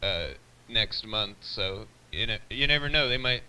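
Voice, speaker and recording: A man's voice: fairly low pitch, measured pace, pushed and bright, clear, resonant tone. A man chatting casually over CB radio in a plain-spoken, slightly grumbling way, with a distant, hissy sound. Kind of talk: casual chat. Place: on a CB radio live feed.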